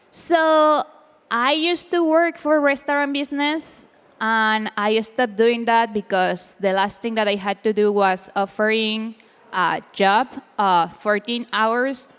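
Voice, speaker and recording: A woman's voice: on the high side, takes it slowly, fairly bright tone, clear, resonant tone. A woman telling her own story as a panelist. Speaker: panelist, community co-founder. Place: summit event room. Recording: reverberant hall.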